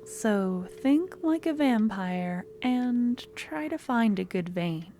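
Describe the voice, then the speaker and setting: A woman playing a sweet but obsessive young student stalker in an ASMR audio roleplay, soft, coaxing and a little awkward as she talks to the listener. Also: fairly high pitch, expressive, slow pace, faint music behind. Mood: soft, instructive.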